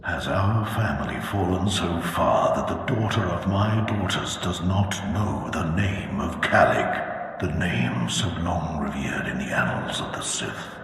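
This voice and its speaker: A grave, proud ghost of an ancient Sith lord in a video game. His very low voice is slow and grandiose, with archaic solemn phrasing, ringing through a vast reverberant hall. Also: animated, a bit bright, faint music behind, exaggerated voice. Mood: solemn, awed. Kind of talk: video game cutscene acting. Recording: cavernous hall reverb, background noise.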